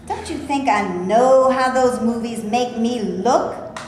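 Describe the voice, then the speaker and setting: A woman's voice: a little high, takes it slowly. A woman reading the part of Mabel, a blunt, sassy actress, in a stage reading before a live audience. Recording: echoey hall, faint noise.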